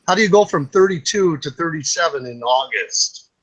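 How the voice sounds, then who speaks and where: A man's voice: on the high side, lively intonation, normal speed, fairly bright tone, husky, low-quality recording. A man in his fifties chatting casually on a group call, blunt, swearing freely and telling stories. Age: 50s. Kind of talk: casual late-night group chat. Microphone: online group video call.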